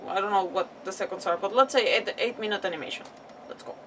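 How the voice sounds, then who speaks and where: A woman's voice: medium pitch, fast talker, fairly bright tone, a little hiss or hum. Scattered woman streamer, distant mic. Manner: scattered, exclamatory, repeats words. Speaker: game streamer. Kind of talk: casual livestream chat.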